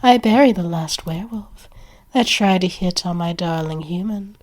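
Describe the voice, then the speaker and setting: A woman's voice: fairly low pitch, moderate pace, a little mellow, natural voice. A woman acting a haughty, possessive vampire who flirts and threatens in an ASMR roleplay, theatrical and often half-whispered. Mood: possessive, menacing.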